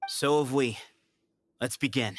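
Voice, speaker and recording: An actor playing a proud, determined young temple heir in a video game, speaking with earnest ceremony. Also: male voice, mid-range voice, measured pace, slight background noise, close to an everyday voice. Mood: confident.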